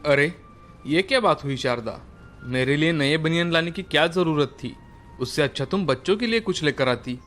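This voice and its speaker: A gentle poor village father. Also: on the high side, animated, moderate pace, faint music behind. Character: poor hardworking village laborer father, kind. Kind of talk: animated moral story voice acting.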